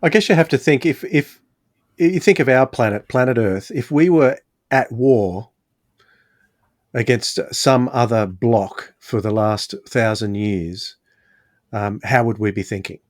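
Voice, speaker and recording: A man's voice: mid-range voice, unhurried, no echo, clean studio sound. A man pondering tentatively in a casual podcast discussion, feeling his way through each thought. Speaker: podcast panelist.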